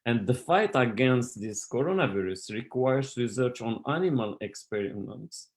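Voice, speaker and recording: Halting Moroccan-born man giving a lecture. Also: mid-range voice, animated. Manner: careful, halting delivery with many fillers and restarts. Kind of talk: online scientific lecture.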